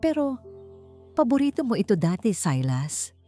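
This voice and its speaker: A woman's voice: mid-range voice, sing-song, normal speed, dark tone, pitched above the performer's own voice. A Filipino woman plays a wounded young wife in a romance audio story. Her voice is lifted, emotional and pleading, with music underneath.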